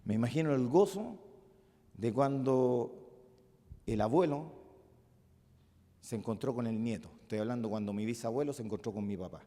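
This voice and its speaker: A male pastor with a Chilean accent preaching a sermon, moving between loud emphatic peaks and soft, musing asides. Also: mid-range voice, moderate pace, pauses often. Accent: Chilean Spanish. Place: church hall. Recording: long reverb of a church hall.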